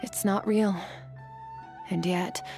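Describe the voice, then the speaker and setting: A woman playing a stoic, aloof immortal warrior in a video game, cool and measured in her delivery. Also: medium pitch, fairly even intonation, gravelly, over music. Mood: calm, reflective.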